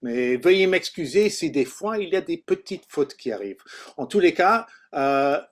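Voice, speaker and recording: A man from Canada with a slightly high voice leads an informal workshop as a language teacher. His native English shows in a mid-Atlantic English accent. He speaks haltingly, feeling for the next word in his second language. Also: animated. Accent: mid-Atlantic English accent in French.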